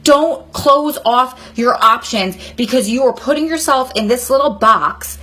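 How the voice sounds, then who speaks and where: Female, around 40, fairly high voice. Advice vlogger: earnest, conversational, speaking directly to viewers. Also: unhurried, sharp tone. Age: 39, nearly 40. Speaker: vlogger advising prison wives. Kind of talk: candid advice vlog, talking to camera.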